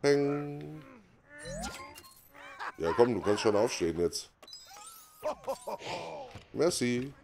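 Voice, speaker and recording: A man's voice: medium pitch, expressive, drawn-out words, long pauses, background noise. A man giving casual, unscripted game commentary as host, muttering offhand reactions to himself. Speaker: gaming let's play host.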